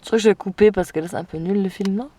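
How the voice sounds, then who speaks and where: A woman's voice: medium pitch, very fast, mellow, dry recording. A young woman, tipsy and giggly, chatting playfully in a car at night. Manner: giggly, playful, repeats words. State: drunk. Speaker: vlogger. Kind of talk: tipsy casual chat.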